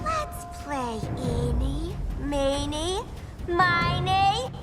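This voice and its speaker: High-pitched manic madwoman. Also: big pitch swings, extremely slow, reverberant, exaggerated voice. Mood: manic glee. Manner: sing-song, childlike, slangy. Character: manic, childish, playful female lunatic antihero. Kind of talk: video game cutscene acting. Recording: loud music behind the voice.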